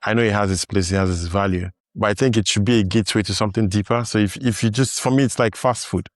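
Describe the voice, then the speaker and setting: A man's voice: lowish voice, steady tone, fast, no room sound, studio quality. A man hosting a podcast in casual conversation, thoughtful, with many fillers and restarts. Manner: thoughtful, lots of fillers and restarts, laughs easily. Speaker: podcast host.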